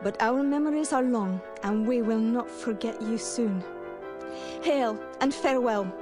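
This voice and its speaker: An actress playing a dignified, stern shepherd leader in an adventure game, with formal, solemn, commanding speech. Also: female voice, on the high side, a little slow, over music, natural voice. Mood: grateful, dignified. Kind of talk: 1990s adventure game voice acting.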